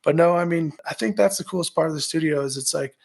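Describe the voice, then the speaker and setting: Raspy man with a gravelly edge. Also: on the high side, quick pace. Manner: energetic, pep-talk delivery with repeated "right?". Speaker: musician guest, former baseball pitcher. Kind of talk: casual interview talk.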